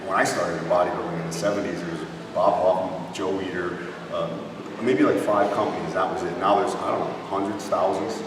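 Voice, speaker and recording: A man's voice: sits low, fairly even intonation, a little fast. A man in his sixties hosting a casual interview in a chatty, wry way. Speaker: interview host. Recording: echoey hall, distant mic, noisy ambience.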